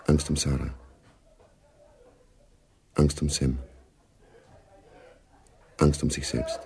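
A man narrating a radio drama as a grave, brooding storyteller, measured and sombre. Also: very deep voice, creaky, a little mellow, full character voice.

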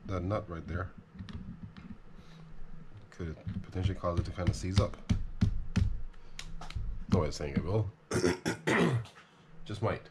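A man repairing a fishing reel at his workbench, talking casually and thinking out loud as he works. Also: low voice, slow, pauses often, loud music behind the voice. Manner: relaxed, thinking aloud, self-deprecating. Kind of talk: casual how-to narration while working. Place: workbench, indoors.

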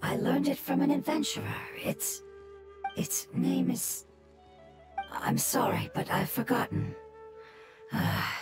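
A woman voicing a gentle floating fungus creature in a video game, with soft, measured, reflective speech. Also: fairly high pitch. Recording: game music under the voice.

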